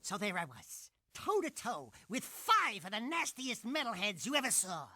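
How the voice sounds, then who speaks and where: A man's voice: high voice, wildly swooping pitch, moderate pace, sharp tone, exaggerated voice. A man voicing a cocky little animal sidekick in a video game, boastful and theatrical in his delivery. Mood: boastful, dramatic.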